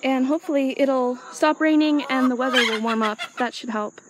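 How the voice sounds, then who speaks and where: A woman's voice: sits high, moderate pace. A woman casually narrating her farm chores and thinking aloud as she goes, in an unscripted vlog style. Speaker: small farm owner and vlogger. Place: outdoors on a farm. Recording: outdoor noise, insects and animal sounds.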